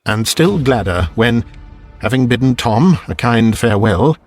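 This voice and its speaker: A man narrating a Victorian ghost story as an audiobook in a measured, deliberate storytelling manner. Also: mid-range voice, animated, soft background music.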